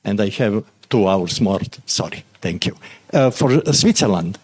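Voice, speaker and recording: A man's voice: fairly low pitch, expressive, unhurried, husky. A man from the audience, speaking broken English and stating firm opinions. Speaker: audience member asking a question.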